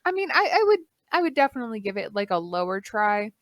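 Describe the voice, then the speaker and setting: A woman in her late twenties from Texas, co-hosting a casual podcast chat, lively and quick to swear. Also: medium pitch, dry recording, studio quality. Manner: animated, swears freely. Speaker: podcast co-host. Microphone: studio mic.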